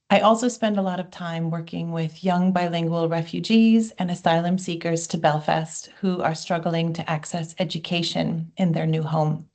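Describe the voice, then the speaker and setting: A woman from the United States, a lecturer, reading a measured, formal self-introduction at an online launch. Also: fairly low pitch, a little mellow.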